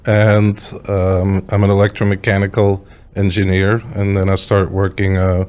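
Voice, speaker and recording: Middle-aged male podcast guest, Belgian accent, lowish voice, conversational storyteller who acts out his thoughts and questions. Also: monotone, moderate pace, a little mellow, clean tone.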